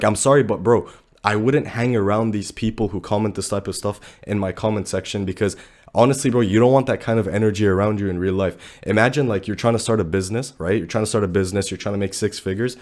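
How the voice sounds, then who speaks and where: Young male commentator, sick and low-pitched, blunt and slangy, talking fast to camera. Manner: blunt, animated, slangy, says bro a lot. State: sick, voice deeper than usual. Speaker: dating commentary youtuber.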